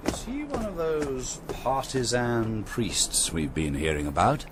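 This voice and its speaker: An actor playing a brisk, dry-witted aristocratic army general in a radio drama, clipped and commanding. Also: male voice, mid-range voice, very animated, very noisy.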